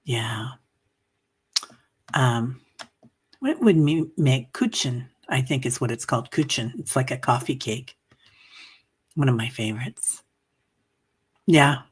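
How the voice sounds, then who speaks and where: A woman hosting a livestream, chatting casually and rambling with many fillers, reading out viewer comments, coughing and a bit hoarse. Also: rumbling low, expressive, slow pace, long pauses. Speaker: livestream meditation host. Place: home livestream.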